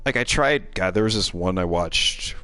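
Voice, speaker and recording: A man's voice: deep voice, animated, moderate pace, pushed and bright, no room sound, faint music behind. A man giving casual, sardonic live gaming commentary, given to exasperated asides. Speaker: game streamer.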